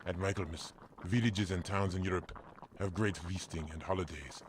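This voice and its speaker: An actor playing a kindly, patient German village priest in a family audio drama, gentle and measured in manner. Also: male voice, sits low, fairly even intonation, background noise, lightly acted. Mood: warmly explaining. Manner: gentle, measured, formal, explanatory.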